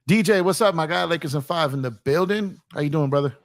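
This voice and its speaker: Male sports talk host, American with a touch of New York, slightly higher-pitched than average, animated and slangy, very dry sound. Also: quick pace, no echo. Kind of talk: casual sports chat on a livestream.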